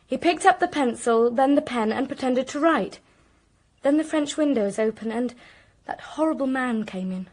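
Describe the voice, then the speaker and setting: An actress playing an anxious, articulate young British woman in a radio drama, with a precise, expressive delivery. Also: high voice, normal speed. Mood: tense recounting. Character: anxious, articulate young niece recounting a crime.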